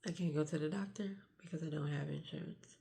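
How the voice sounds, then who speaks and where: Middle-aged woman, stuffed-up nose, gravelly low voice, speaks hushed and candid. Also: steady tone, quick pace. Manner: hushed early-morning talk, sighs and restarts. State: stuffy nose, getting sick. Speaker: vlogger. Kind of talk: confessional vlog.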